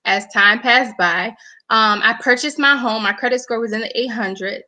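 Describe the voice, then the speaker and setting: A woman's voice: fairly high pitch, moderate pace, pushed and bright. A young woman from New York, a guest speaker telling her personal story in an earnest, conversational way. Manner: earnest, conversational, with frequent fillers. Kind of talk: personal testimony talk.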